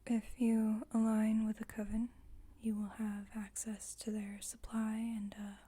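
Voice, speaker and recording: A woman playing a composed, formal vampire coven leader in an ASMR roleplay, whispering softly in a measured, instructive, gently wry way. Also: a little high, monotone. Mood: wryly instructive. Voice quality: breathy, whispered, soft.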